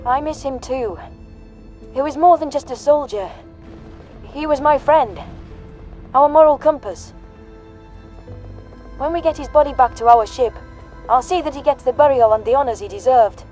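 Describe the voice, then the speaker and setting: A man's voice: very high-pitched, normal speed, long pauses, a little mellow, faint music behind, pitched above the performer's own voice. A man voicing a tough, blunt, commanding human woman soldier in a science fiction audio story. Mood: grieving, solemn.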